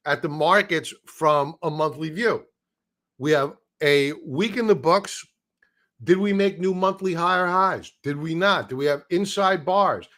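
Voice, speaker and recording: Hoarse man giving blunt market commentary. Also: fairly high pitch, fairly bright tone. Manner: blunt, opinionated, casual slang; clears throat often. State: losing his voice. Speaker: market analyst hosting a live stream. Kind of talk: live market commentary over charts.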